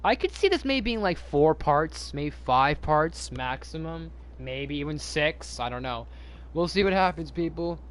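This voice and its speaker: A man's voice: a little high, animated, measured pace. A man hosting a casual live stream, chatting to viewers in a rambling way, repeating himself and restarting his sentences.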